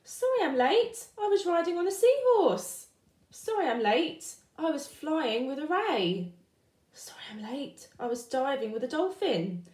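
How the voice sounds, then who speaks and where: An excitable little boy fish from a children's storybook, played by a woman, high and very lively in pitch. He gushes earnest, breathless tall-tale excuses in a roomy, echoing space. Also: measured pace. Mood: excited excuses. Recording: roomy indoor echo.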